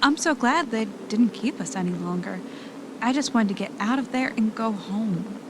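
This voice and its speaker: Nervy, earnest wife female amateur actor for a spooky radio play, higher voice, noisy recording. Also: normal speed, close to an everyday voice. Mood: weary, relieved. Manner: earnest, questioning. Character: frightened, anxious wife on a spooky vacation. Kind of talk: amateur audio drama acting.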